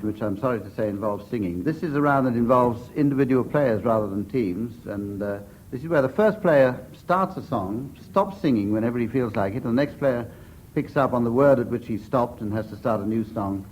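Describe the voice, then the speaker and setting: Male British radio chairman, medium pitch, dry and formal, hesitant delivery, faint recording noise. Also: fairly quick, darkish tone, rough recording. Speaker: panel show chairman. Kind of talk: dry comic game-show presenting.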